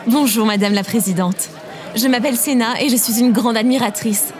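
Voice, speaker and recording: A woman's voice: a little high, moderate pace, faint music behind, a faint crowd, natural voice. An actress in an audio drama playing a starstruck, admiring mother with a gushing, reverent manner. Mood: awed, gushing.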